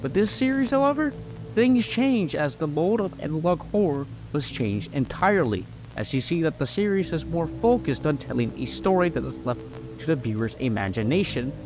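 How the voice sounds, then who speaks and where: A man's voice: fairly high pitch, sing-song, normal speed, music in the background. A man narrating a scripted video essay, explaining his points earnestly and emphatically with an occasional stumble.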